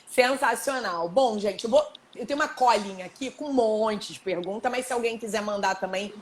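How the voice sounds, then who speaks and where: A woman's voice: fairly high pitch, moderate pace, a little hiss or hum. Chatty woman hosting a live chat. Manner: chatty, laughs often, restarts sentences. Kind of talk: casual live chat, reading book excerpts.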